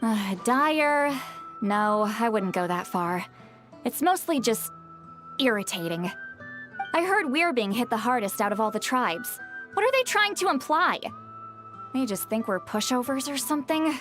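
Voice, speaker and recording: Huffy, high-pitched bookish game heroine. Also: female voice, big pitch swings, measured pace. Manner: huffy, dramatic, opinionated. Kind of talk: video game character acting. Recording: background game music.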